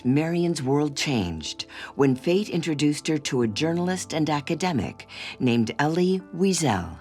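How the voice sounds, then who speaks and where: A documentary narrator delivers scripted narration with a lively, rising and falling intonation. Clear music plays underneath the whole read. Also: medium pitch.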